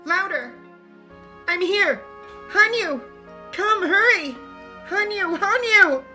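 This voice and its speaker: A woman voicing a frantic young shrine girl who calls out loudly and urgently. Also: high voice, expressive, normal speed, soft background music. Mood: frantic, pleading. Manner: loud, urgent calling. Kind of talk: story reading with voiced characters.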